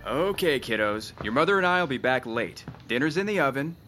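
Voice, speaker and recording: Actor as a stern dad, lively pitch. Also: male voice, loud music behind the voice, natural voice. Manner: brisk, commanding. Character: stern strict father giving children orders. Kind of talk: audio drama acting.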